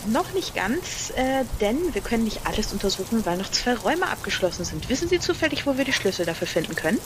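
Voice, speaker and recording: A woman's voice: fairly high pitch, very animated, fast talker, noisy background. A woman playing as a contestant, talking casually through an interactive game. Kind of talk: casual game play discussion.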